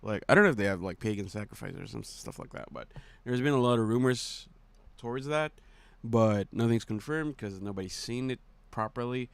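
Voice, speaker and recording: A man's voice: mid-range voice, fairly quick, few pauses, clean tone, dry recording. A man giving casual, off-the-cuff commentary as a reaction video host, slangy and prone to casual swearing.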